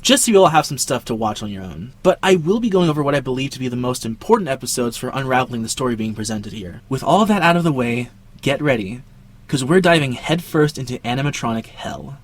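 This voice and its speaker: A man's voice: mid-range voice, lively intonation, fast. A young man hosting a video essay with casual scripted narration and dramatic emphasis. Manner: dramatic emphasis with jokey asides. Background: background music.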